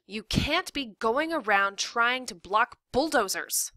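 A woman's voice: fairly high pitch, animated, takes it slowly, sharp tone, dry recording, pitched above the performer's own voice, full character voice. A woman voicing an exasperated, firm mother in a story, speaking sternly and emphatically. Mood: stern, impatient.

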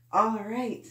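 A woman in her thirties hosting story time for small children, with an animated, sing-song way of talking. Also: sits low, heavy background noise. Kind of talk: read-aloud for young children. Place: small indoor room with painted mural.

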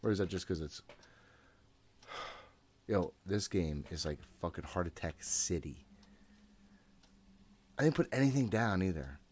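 A man's voice: sits low, animated, a little slow, pauses often, darkish tone, breathy edge. A man in his thirties giving casual live commentary as he plays a horror game, jumpy and swearing freely as he talks to himself. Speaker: video game streamer. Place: small room at home. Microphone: desk microphone close to the mouth.

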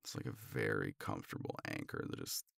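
An actor as an older boyfriend in a romance audio roleplay, with an extremely low voice full of heavy fry. He murmurs haltingly, tender and teasing, very close and dry, as if talking to one person beside him. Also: steady tone, fairly bright tone, no echo, exaggerated voice. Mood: wry, affectionate. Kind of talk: intimate romance ASMR roleplay.

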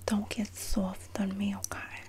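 A young woman acting a sassy, streetwise female inmate who comforts her bunkmate in a breathy whispered ASMR roleplay. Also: medium pitch, completely flat delivery, a little mellow, close to an everyday voice. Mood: comforting. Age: young adult. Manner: sassy, streetwise slang, drawn-out terms of endearment. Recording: close mic with clear background noise.

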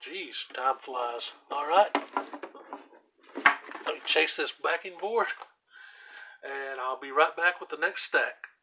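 A hoarse man casually walking through his comic book collection, reading out titles with offhand asides while he sits on the floor at home. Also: fairly high pitch, slow pace, long pauses, heavy background noise. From US South. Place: indoors at home, seated on the floor by a shelf. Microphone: camera aimed at the shelf, speaker off screen.

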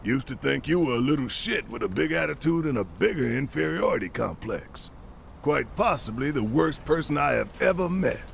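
An actor playing a big, gruff, brash rebel fighter with a warm heart and a gravelly voice in a video game. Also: male voice, mid-range voice, expressive, normal speed, a little hiss or hum. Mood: frank. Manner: brash, emphatic, folksy. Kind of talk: dramatic video game acting.

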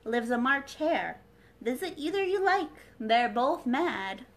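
A woman's voice: sits high, very animated, slow, heavily acted. A woman voicing a mad, casually illogical talking cat in a storybook, speaking emphatically and matter-of-factly. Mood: playfully matter-of-fact.

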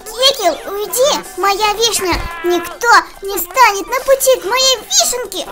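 Tiny whiny grumpy cartoon creature, super high squeaky voice, slowish, loud kiddie music behind. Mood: indignant. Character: small grumpy fuzzy cartoon creature obsessed with its cherry. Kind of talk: children's game let's-play voice acting. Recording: loud children's music underneath. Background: playful children's game music.

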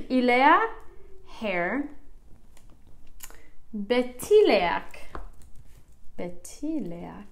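Chatty American woman with a high voice. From United States. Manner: chatty, self-deprecating, lots of "like" and laughs. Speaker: vlogger learning Basque. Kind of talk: casual chatty vlog. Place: living room at home, indoors.

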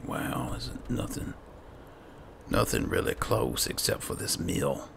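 A man's voice: low voice, big pitch swings, takes it slowly, vocal fry, noisy background. A man casually commentating on a video game, roleplaying and musing aloud to himself. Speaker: gaming host doing roleplay playthrough.

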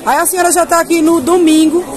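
Female market presenter, Northeastern Brazilian accent, high pitch, informal and chatty with viewers, recorded amid clear crowd bustle. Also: steady tone, quick pace, music in the background. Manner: chatty, addressing viewers with repeated tag questions. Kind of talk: informal product showcase. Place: covered open-sided clothing market. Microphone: handheld camera, speaker behind it. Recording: busy crowd noise of covered market.

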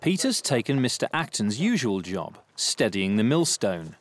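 A man narrating a documentary in scripted, measured storytelling. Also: lowish voice, sing-song, noisy background. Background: music bed.

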